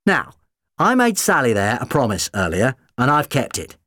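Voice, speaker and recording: A man playing a quick-witted, defiant but kind doctor in an audiobook adventure, speaking emphatically. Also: medium pitch, huge pitch swings, fairly bright tone, no room sound, studio quality, pitched above the performer's own voice. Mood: firm, warning.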